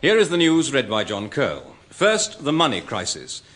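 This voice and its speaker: An actor playing a formal English radio newsreader delivering scripted bulletins in a comedy. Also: male voice, mid-range voice, wildly swooping pitch, normal speed, over music. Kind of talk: scripted news reading.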